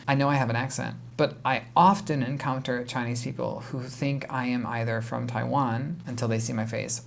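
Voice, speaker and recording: A man's voice: medium pitch, vocal fry. A man with an American accent talks as a reaction video host. His delivery is casual and conversational, rambling from point to point with an exasperated edge. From United States.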